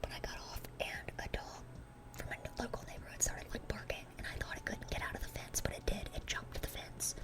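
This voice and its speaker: A woman's voice: fairly quick, close to an everyday voice. A woman playing a dorky, sweet-natured young demon girl in an ASMR roleplay, whispering in a rambling, chatty, earnest way. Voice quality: breathy, unvoiced whisper.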